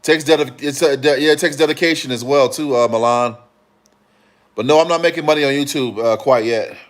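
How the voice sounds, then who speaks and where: Man, from Chicago, livestream host, casual chat, rambling, lots of fillers and restarts, middle pitch. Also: brisk. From Chicago, Illinois.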